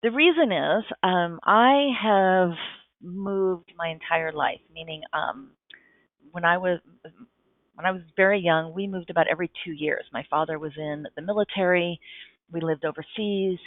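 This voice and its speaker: A woman's voice: fairly low pitch, normal speed, dry recording. A woman speaking Japanese as her first language, a podcast guest giving thoughtful, enthusiastic answers with frequent restarts, heard over a tinny line. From United States. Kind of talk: casual interview answers.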